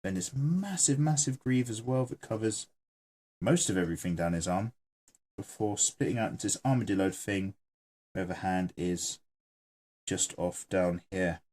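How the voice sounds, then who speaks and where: A man's voice: sits low, lively intonation, moderate pace, darkish tone. A man hosting his own channel, chatting casually and joking while he sketches and reads viewer comments aloud. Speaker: artist and channel host.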